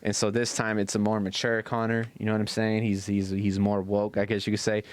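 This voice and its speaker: Male podcast host, low smooth voice, dry recording, loose slangy swearing, casual sports talk. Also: monotone, fairly quick, no room sound. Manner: slangy, profane.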